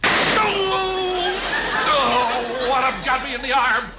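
A man doing a panicky, high-voiced prospector. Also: lively intonation, takes it slowly, a loud crowd, very noisy, heavily acted. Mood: panicked. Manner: crying out, alarmed. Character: panicky, put-upon male prospector partner. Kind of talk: 1950s radio comedy sketch acting. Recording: old radio broadcast recording.

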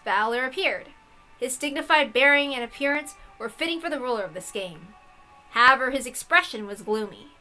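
A woman's voice: a little high, pushed and bright, low-quality recording. A woman narrating a visual novel aloud, with casual asides between the scripted lines. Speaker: reader and narrator.

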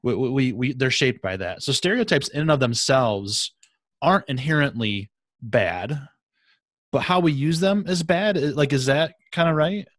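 A man's voice: medium pitch, expressive, normal speed, fairly bright tone, no room sound. A middle-aged man with a Midwestern accent from Michigan, hosting a podcast interview into a studio mic with headphones, friendly and often restarting his sentences. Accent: Midwestern American. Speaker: podcast host. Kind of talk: casual interview chat. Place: small home studio room. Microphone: headset with studio microphone.

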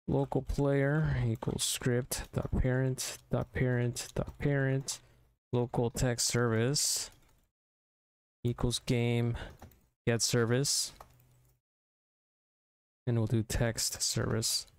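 A man narrating a software tutorial informally, thinking aloud step by step into a close mic. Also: mid-range voice, little pitch movement, slow, pauses often, clear, resonant tone, dry recording. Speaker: tutorial presenter.

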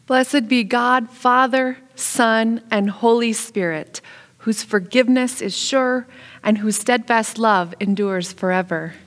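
A middle-aged woman pastor leading confession and prayer in a measured, solemn way. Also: on the high side, in a large hall. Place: church sanctuary, indoors.

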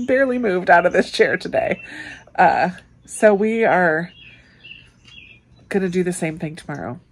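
A woman chats casually to her viewers as a vlogger. Her voice sits slightly low, with plenty of fry and a husky, rough-edged texture. The delivery is informal and easygoing. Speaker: book vlogger, host. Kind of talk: casual weekend vlog chat to camera.

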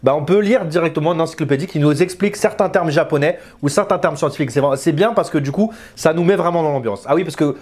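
Guy in his 30s chatting through a game review, voice a bit high, kinda rambly. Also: quick pace, hardly any pauses, darkish tone. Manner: conversational, rambling, repeats words for emphasis. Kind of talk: casual spoken video review. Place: small indoor room with bookshelves. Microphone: lapel mic.

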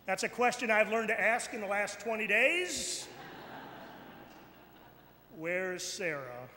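Slow, high-pitched preacher man in echoing church. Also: pushed and bright. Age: middle-aged or older. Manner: deliberate, declamatory, repeats phrases. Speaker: bishop preaching. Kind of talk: sermon, slow and emphatic with pauses. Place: large church, at a pulpit. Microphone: pulpit microphone. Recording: echoey church hall, background noise.

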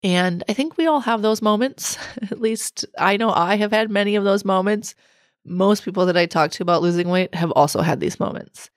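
A woman in her thirties hosting a solo podcast, her voice a little raspy from a cold, talking in an earnest, reflective coaching way. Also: medium pitch, moderate pace, no echo, clean studio sound. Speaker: podcast host.

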